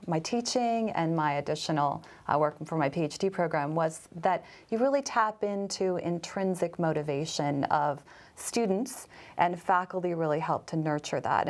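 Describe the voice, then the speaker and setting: Thoughtful woman, low-pitched professor guest. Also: a little mellow. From Vermont, US. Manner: thoughtful, explanatory. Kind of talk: TV interview guest. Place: TV studio.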